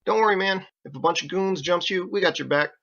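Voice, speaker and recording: A man's voice: high-pitched, fast talker, creaky, fairly bright tone, highs cut off, voice pushed up, heavily acted. A man voicing a laid-back, eager sailor in an audiobook, talking in casual, careless slang. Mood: easygoing.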